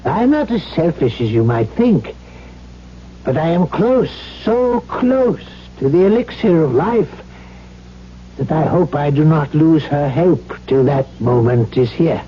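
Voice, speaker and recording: Casting: elderly brooding scientist-father for radio drama, higher-pitched male voice, slow, halting and formal. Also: animated. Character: old doctor, secretive experimenter, fatalistic possessive father. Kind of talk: 1970s radio drama acting. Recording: muffled, noisy 1970s radio broadcast.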